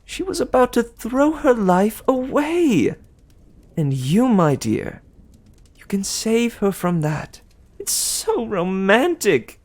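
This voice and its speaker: A vain, doting goddess of love, as a male reader puts her on gushes in a high, breathy voice. She stretches her words out slowly and sighs over every line. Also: big pitch swings, a little mellow, heavily acted. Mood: gushing. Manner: breathy gushing, sighing.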